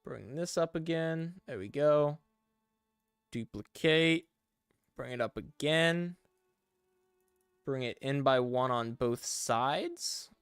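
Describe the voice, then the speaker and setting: A man's voice: mid-range voice, slow pace, pauses often, smooth, no echo, clean studio sound, soft background music. A young man casually chatting on a live stream, talking through each step as he works and reading chat aloud. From English-speaking. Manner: relaxed, talks through steps as he works, reads chat aloud.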